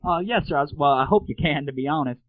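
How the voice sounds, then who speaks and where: A man's voice: on the high side, fast talker, dry recording. A man hosting an internet comedy radio show, talking casually in crude slang. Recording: lo-fi, phone-like narrowband audio.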